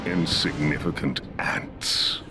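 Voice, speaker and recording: An actor playing a pompous conquering tyrant in a video game, grandiose and theatrical, savouring each word. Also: male voice, extremely low pitch, fairly even intonation, slow. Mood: scornful. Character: pompous male time-travelling tyrant conqueror, gloating over battles. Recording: loud music underneath.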